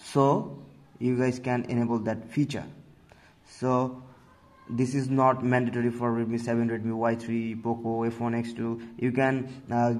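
A man's voice: medium pitch, little pitch movement, a little slow, darkish tone. A man hosting a tech tutorial, narrating casually step by step and often addressing viewers as guys. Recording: noticeable background noise.

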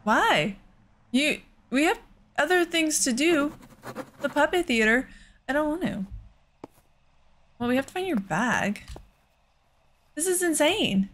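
A woman's voice: sits high, expressive, slow, long pauses, fairly bright tone, slight background noise. A woman giving casual let's play commentary, thinking aloud in an exasperated way. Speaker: gaming host.

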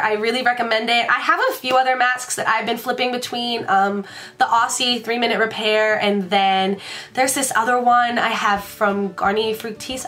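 A woman's voice: fairly high pitch, fairly bright tone. A young woman in her twenties from the Washington DC area, chatting casually to camera in her bedroom, congested from a sinus infection and rambling in a bubbly way. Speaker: beauty vlogger. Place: bedroom, indoors.

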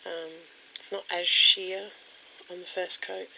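A young woman with a croaky voice casually showing nail polish at her desk at home, rambling with lots of ums. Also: lowish voice, little pitch movement, slow pace, bright, forceful tone, rough recording. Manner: chatty, rambling with many ums. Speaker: beauty vlogger. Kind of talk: casual product demo. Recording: home recording with clear background noise.

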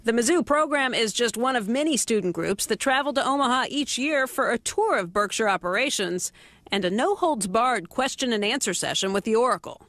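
A woman's voice: on the high side, lively intonation, fairly quick, a bit bright, no room sound. A woman reporter reading scripted news documentary narration in a polished, upbeat voice with a light wry edge. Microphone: studio voice-over. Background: music underneath.

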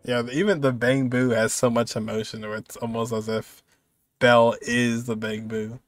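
A man's voice: mid-range voice, flat delivery, normal speed, dry recording. Young man casually reacting to a game. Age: young adult. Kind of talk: casual live reaction commentary. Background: game music.

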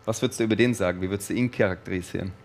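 A man hosting a studio interview show, upbeat and lively as he presents and asks questions, on a headset mic. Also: lowish voice, rapid-fire. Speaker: show host.

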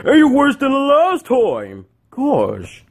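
A man's voice: very high voice, wildly swooping pitch, takes it slowly, pitched above the performer's own voice, exaggerated voice. A man playing an exasperated, nagging household disciplinarian in an amateur improvised comedy skit, repeating himself as he scolds. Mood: angry, exasperated.

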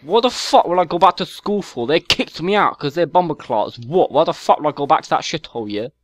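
A man doing a hot-headed young chav who lisps and mumbles his slang, ready to fight anyone. The voice sits a little high and leaps all over the place. It is recorded dry and close on a headset. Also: expressive, no echo, lightly acted. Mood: belligerent. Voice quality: lisp. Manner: slangy, mumbling, quick to threaten. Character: young male chav, aggressive, touchy, lisping. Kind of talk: trolling in game voice chat.

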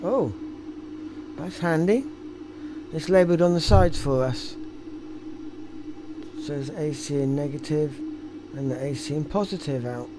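A man's voice: a little high, measured pace, long pauses, noisy background. A man giving casual commentary as a repair technician, musing aloud while he works at the bench. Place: indoor electronics workshop.

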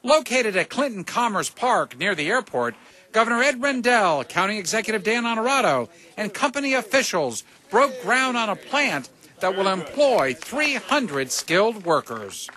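Newsman doing a live report, high animated voice, measured delivery. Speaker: TV news reporter.

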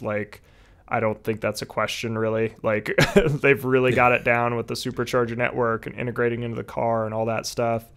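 A man's voice: mid-range voice, smooth. Chatty young man on a headset. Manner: relaxed, chatty, many fillers. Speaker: podcast guest. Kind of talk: casual chat. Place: home room, indoors. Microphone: headset with video call.

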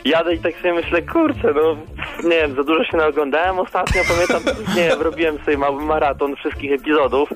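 A man's voice: a little high, brisk. A man chatting casually as a caller to a radio show. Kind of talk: casual chat. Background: music.

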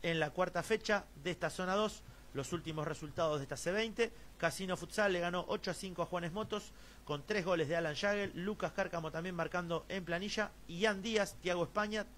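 A man's voice: sits high, a little fast, fairly bright tone, slight background noise. A man with an Argentine accent hosts a local futsal news stream, reading scores and scorers with frequent fillers and restarts. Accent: Argentine Spanish. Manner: many fillers and restarts, reads long lists of scorers. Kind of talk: sports news roundup, reading results.

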